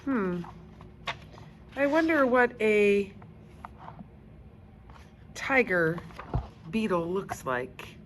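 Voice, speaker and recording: A woman storyteller narrating a children's book aloud in an expressive style. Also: mid-range voice, very slow, pauses often. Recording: faint background noise.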